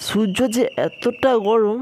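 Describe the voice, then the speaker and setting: A woman's voice: a little high, steady tone, slow, a little mellow. A woman voicing a naive, greedy, playful Moon character in a children's cartoon. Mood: sheepish. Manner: naive, exclaiming.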